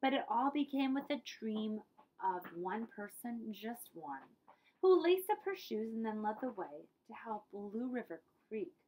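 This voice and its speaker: A woman's voice: fairly high pitch, sing-song, a little slow, warm tone, slight background noise, poor audio. A woman reading a children's picture book aloud as a librarian, with dramatic storytelling emphasis and friendly asides.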